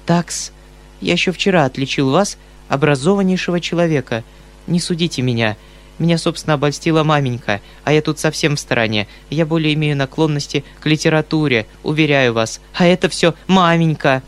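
A man's voice: fairly high pitch, fairly quick, natural voice. A man voicing a weak-willed young nobleman who apologizes and fawns, with music playing behind. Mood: pleading. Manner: ingratiating, apologetic.

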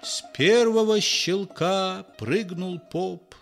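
Мужчина читает сказку, неторопливо. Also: male voice, high-pitched, slow pace, gravelly, over loud music. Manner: theatrical, lively storytelling. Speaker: narrator. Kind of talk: expressive fairy tale reading.

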